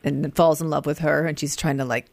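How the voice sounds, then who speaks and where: Low-voiced, playful woman chatting on radio. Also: quick pace, vocal fry, no room sound. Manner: expressive, playful. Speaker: radio show host. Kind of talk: casual on-air chat.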